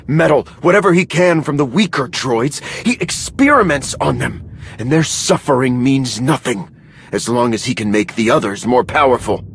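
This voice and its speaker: A man's voice: mid-range voice, gravelly, a little hiss or hum. A man playing an indignant, loyal soldier in a video game, speaking with urgent earnestness. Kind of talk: video game dramatic acting.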